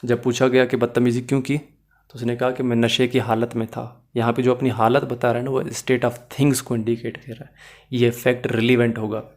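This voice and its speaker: A man's voice: mid-range voice, little pitch movement, normal speed. A man giving an explanatory lecture as a teacher, in a didactic way, mixing in English phrases and often checking that listeners follow. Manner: mixes English phrases into Hindi-Urdu. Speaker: law teacher.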